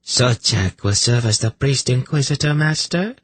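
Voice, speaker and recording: A man's voice: medium pitch, big pitch swings, a little slow, slight background noise, voice pushed up. A man voicing a cruel, imperious priestess with a throaty purr in a fantasy audiobook. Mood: smug menace. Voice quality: throaty, almost purring. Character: cruel, scheming priestess, imperious and smugly menacing.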